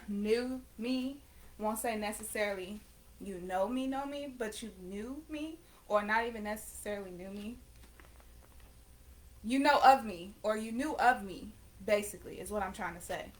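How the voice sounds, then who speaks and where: Bright-voiced teenage woman chatting casually. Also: medium pitch. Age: late teens. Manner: rambling, self-correcting, conversational. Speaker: vlogger and painter. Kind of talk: casual chat to camera.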